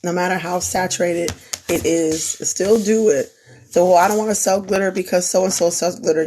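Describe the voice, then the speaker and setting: A woman's voice: fairly low pitch, darkish tone, slight background noise. A young woman who is losing her voice gives an earnest, repetitive pep talk on a live stream. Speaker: small business owner, crafter. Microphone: phone.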